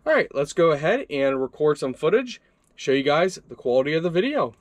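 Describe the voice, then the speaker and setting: A man's voice: a little high, a little fast, no room sound. A young man giving a casual product review at his desk, walking through the features conversationally with a few fillers. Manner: relaxed, conversational walkthrough with fillers. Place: indoor desk workspace.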